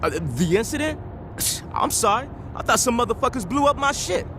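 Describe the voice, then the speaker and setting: A hotheaded gang accountant in a game cutscene, sarcastic and agitated, pitched high with lively swings. Faint noise sits behind him. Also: male voice, a little fast. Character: sarcastic, hotheaded male gang money man.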